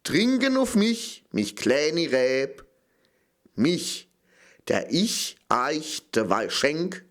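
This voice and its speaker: Male dialect poetry reader, Palatinate accent, slightly high voice, very unhurried sing-song phrasing, speaking as a small grapevine. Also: big pitch swings, a bit bright. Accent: Palatinate German. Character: little grapevine, proud, affectionate, a bit fearful. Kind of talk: slow recitation of a dialect poem.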